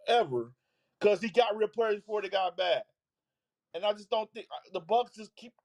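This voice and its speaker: A man co-hosting a casual basketball live stream, talking in an animated way and repeating himself as he builds a point. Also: sits high. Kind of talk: casual sports talk.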